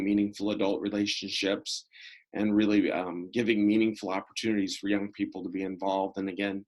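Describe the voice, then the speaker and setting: A middle-aged man speaks in a fairly low voice as an interview guest. He explains things in a measured way, often pausing mid-thought before carrying on. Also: low-quality recording. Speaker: interview guest, school prevention programs coordinator. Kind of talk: conversational interview answers.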